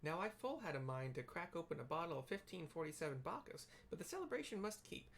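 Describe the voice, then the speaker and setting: A person reading game dialogue aloud as a formal, earnest fantasy character, with theatrical, archaic, deliberate delivery. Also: mid-range voice, very animated, creaky, a little hiss or hum. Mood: grave, earnest. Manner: theatrical, stately, deliberate wording.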